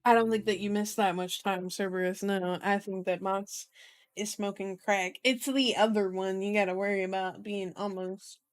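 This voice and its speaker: A woman's voice: medium pitch, steady tone, normal speed, no room sound. A woman streamer reading a novel aloud in a casual ASMR style, playful and chatty, stumbling over the odd word. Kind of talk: casual ASMR read-aloud with asides and acted dialogue. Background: music behind the voice.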